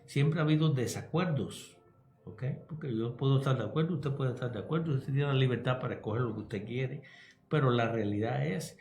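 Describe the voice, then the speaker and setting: A man's voice: medium pitch, fairly quick, darkish tone, reverberant. Older Cuban man, emphatic radio talk. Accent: Cuban Spanish. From Cuba. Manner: emphatic, checks in with "¿ok?". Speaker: radio co-host, pastor and psychologist.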